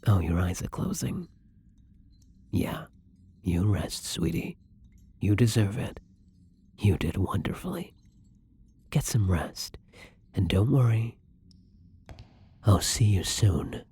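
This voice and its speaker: A man playing a kind, gentle young vampire in an intimate ASMR roleplay, speaking softly and hesitantly in a breathy, often whispered voice. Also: lowish voice, very animated, slow, pauses often, exaggerated voice. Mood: tender, reassuring. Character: kind, gentle young male vampire, newly turned and hungry.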